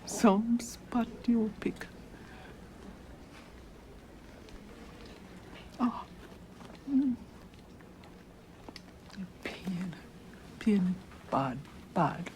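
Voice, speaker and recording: An actress playing an ailing Jamaican woman in a hospital bed, speaking in a hushed, breathy whisper with a Jamaican accent. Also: medium pitch, lively intonation, extremely slow, pauses often, a little hiss or hum, rough recording. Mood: pained. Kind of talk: film drama dialogue.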